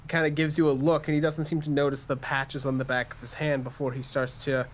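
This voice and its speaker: A man's voice: on the high side, level tone, quick pace, slight background noise. A man serving as game master, casually improvising narration and rulings for a tabletop game.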